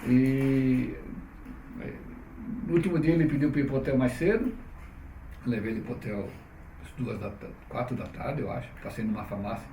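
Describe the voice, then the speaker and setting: A man's voice: mid-range voice, normal speed, dark tone. A middle-aged man, telling stories as an interviewee. His talk is chatty and loose, full of restarts and questions tagged on. The mic sits well away from him in an echoing room, with a light hiss behind him. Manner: chatty, frequent "né?" tags, restarts. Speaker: interviewee, publisher. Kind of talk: relaxed conversational storytelling. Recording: roomy, distant mic, faint noise.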